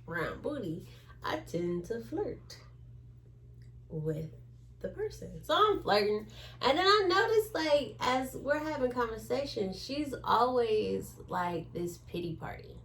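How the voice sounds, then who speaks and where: Woman, slightly high pitch, casual storytelling, animated retelling with acted-out quotes. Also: slow, a little hiss or hum.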